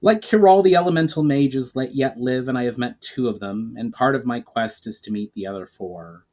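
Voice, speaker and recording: A man's voice: medium pitch, normal speed, darkish tone, close to an everyday voice. A man voicing a grave, knowledgeable elf mage on a quest, speaking formally and earnestly in a storybook reading.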